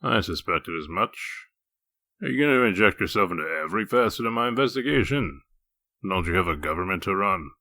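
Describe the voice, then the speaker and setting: A man playing a gruff, humorless wolf-man detective in a comic dub, curt, stern and deadpan. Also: low voice, fairly quick, no echo, full character voice. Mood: deadpan challenge. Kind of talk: comic dub character acting.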